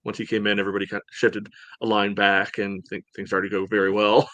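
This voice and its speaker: Low-voiced man talking soccer, dry sound. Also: level tone, fast talker, a bit bright, no room sound. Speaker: soccer podcast co-host. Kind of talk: casual sports analysis chat.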